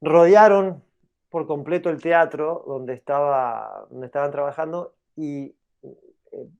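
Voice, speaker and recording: A man's voice: fairly high pitch, slow pace, gravelly, a little mellow. A middle-aged man who grew up in New York, explaining at length as an interview guest, hesitant with many fillers and restarts. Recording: dull lo-fi audio.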